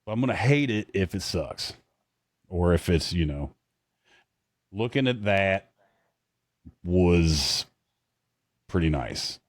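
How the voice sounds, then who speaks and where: A man's voice: low-pitched, expressive, takes it slowly, pauses often, no echo. A man chatting casually as a podcast co-host, rambling and bluntly swearing as he reacts to what he watches. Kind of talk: casual chat reacting to trailers.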